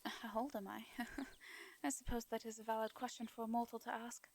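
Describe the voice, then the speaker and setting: A woman with an English accent voicing a gentle, anxious, centuries-old vampire in an intimate fantasy audio roleplay, speaking softly and breathily, with hesitant, formal wording. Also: fairly high pitch, little pitch movement, moderate pace, a little hiss or hum, natural voice. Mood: wistful, musing. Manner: hesitant, stammering, formal old-fashioned speech.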